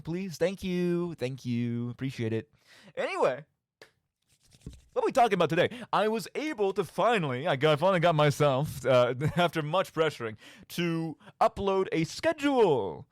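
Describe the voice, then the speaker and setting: Young guy doing a rambly radio show, kinda high voice, super animated, jokey and mock dramatic, dry studio sound. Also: dry recording. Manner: playful, mock-dramatic, tongue-in-cheek. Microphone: studio microphone.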